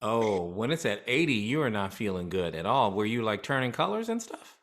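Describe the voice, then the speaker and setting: A man hosting an interview, asking eager, animated questions into a studio mic. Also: fairly low pitch, brisk. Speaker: host. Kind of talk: casual interview. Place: home studio room indoors. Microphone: large studio condenser mic on desk.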